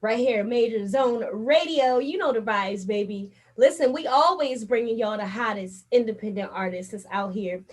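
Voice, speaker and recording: A woman's voice: on the high side, normal speed, few pauses. A woman from Baltimore hosting a casual interview show, upbeat and full of slang. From Baltimore, USA. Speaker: host.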